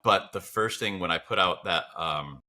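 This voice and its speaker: A man's voice: low-pitched, normal speed, sharp tone. A man talking casually as a podcast co-host, reflective and encouraging. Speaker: podcast co-host and video creator. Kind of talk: casual chat.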